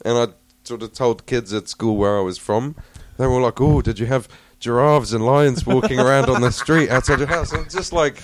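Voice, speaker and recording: A man's voice: mid-range voice, moderate pace, hardly any pauses, no echo. A young man from South Africa chatting casually at home, laid-back and teasing. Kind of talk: casual chat.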